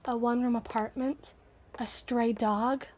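A woman's voice: fairly high pitch, fairly even intonation, darkish tone, slight background noise, lightly acted. A woman playing a rich, possessive young heiress who is condescending and doting, with a haughty, teasing manner, in an ASMR roleplay. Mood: brooding. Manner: haughty, teasing, drawn-out words. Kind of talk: ASMR roleplay, scripted monologue.

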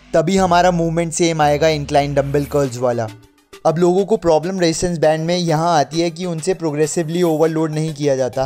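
A man's voice: a little high, a little mellow. A young man giving an explanatory workout voice-over as an instructor, dropping English gym terms into his sentences. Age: young adult.

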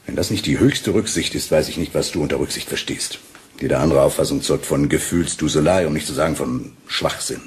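An actor playing a domineering, condescending Victorian husband in a German radio play, with a needling, patronizing manner. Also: male voice, rumbling low, brisk, a little hiss or hum. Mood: cold, commanding.

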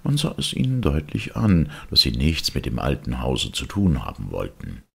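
A man narrates a fairy tale in an extremely deep voice. It is very husky and full of creak. His delivery is theatrical and expressive, made for storytelling. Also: normal speed. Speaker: audiobook reader.

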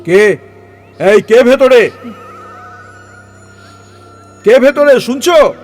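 A man's voice: very high voice, lively intonation, slow, soft background music, heavily acted. A man acting a bossy, curious man in charge in an audio story, with a commanding, colloquial manner. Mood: urgent, commanding.

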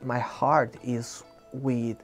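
A man's voice: medium pitch, little pitch movement, drawn-out words. A young man from Ecuador, a native Spanish speaker with an Ecuadorian accent, talking in a documentary interview as a student video producer. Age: young adult. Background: faint music.

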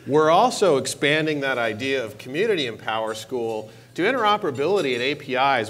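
A man's voice: fairly bright tone, slight background noise. Upbeat man giving a conference keynote. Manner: upbeat, enthusiastic pitch with stressed repeated words. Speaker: keynote speaker, technology executive. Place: large conference hall stage. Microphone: lapel mic.

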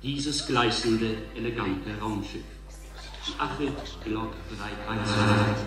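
An actor playing a solemn, emphatic TV announcer in a radio drama. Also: male voice, lowish voice, music in the background. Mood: portentous. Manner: emphatic, drawn-out, portentous. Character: solemn male TV announcer and reporter. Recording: roomy, echoing announcer sound.

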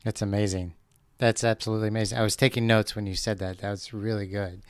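A man's voice: on the low side, fairly even intonation, fast, clean tone, no echo, clean studio sound. A man hosting a business podcast, responding encouragingly to his guest. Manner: encouraging, affirming. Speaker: podcast host. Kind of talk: casual interview talk.

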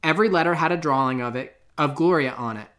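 A man narrating a children's storybook aloud in an expressive, clearly phrased way. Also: a little high, fast. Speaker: storybook reader.

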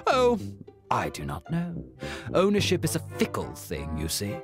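An actor playing a suave, menacing gentleman debt collector in a video game, formal, condescending and deliberately polite. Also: on the high side, wildly swooping pitch, music in the background. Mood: smug politeness. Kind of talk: video game character acting. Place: studio recording, orchestral strings behind.

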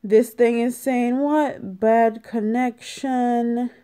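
A young adult woman, talking emphatically and deliberately in a casual opinion vlog, repeating phrases for stress. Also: fairly high pitch, steady tone, warm tone, clear, resonant tone. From Jamaica. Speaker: vlogger. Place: small indoor room at home. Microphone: phone at arm's length.

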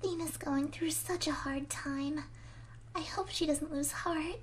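A woman voicing a timid, worried, gentle young woman in a fan-dubbed video game. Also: high voice, steady tone, measured pace, background noise. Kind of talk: fan dub of a visual-novel video game.

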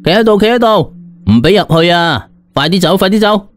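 A man voicing a weary, gruff police officer with a brusque, dismissive manner and a Cantonese accent. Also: sits high, fairly quick, soft background music, voice pushed up, close to an everyday voice.

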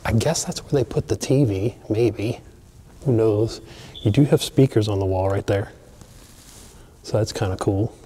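A man excitedly narrating a walkthrough of an empty mansion, chatting to viewers as he films. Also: on the low side, unhurried, long pauses, slightly rough. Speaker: abandoned places explorer. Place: large empty mansion, indoors.

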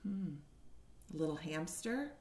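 Woman reading a storybook to kindergartners, takes it real slow, expressive teacher delivery, bit echoey room on a webcam. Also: fairly low pitch. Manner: expressive storytelling with questions to young listeners. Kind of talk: picture-book read-aloud for young children. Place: living room at home, indoors. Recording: roomy echo on a home webcam.

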